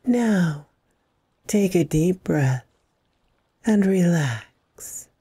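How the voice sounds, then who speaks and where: A woman hosting a guided meditation, speaking in soothing, deliberate phrases with long pauses and a breathy softness. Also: lowish voice, lively intonation, no echo, clean studio sound. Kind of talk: slow guided meditation narration.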